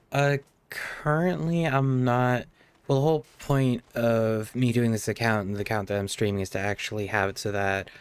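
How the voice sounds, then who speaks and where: A man's voice: lowish voice, clean tone, no room sound. A man streaming a game live, chatting casually in a hesitant, muttering way. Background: game music.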